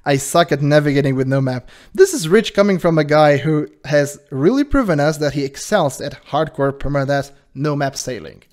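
A man with a high-pitched voice hosting his channel, giving casual commentary in an animated, defensive way and laughing often. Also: moderate pace, hardly any pauses. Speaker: channel host reacting to a video.

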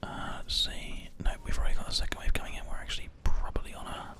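A video game host giving whispered ASMR play-by-play, thinking aloud in an unhurried, hushed way close to a binaural microphone. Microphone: binaural microphone, close to the ear. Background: computer keyboard typing and mouse clicks.

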